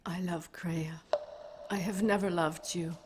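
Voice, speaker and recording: A woman's voice: fairly low pitch, steady tone, unhurried, background noise, lightly acted. A woman playing a desperate captive noblewoman in a fantasy radio play, pleading and dramatic. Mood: anguished.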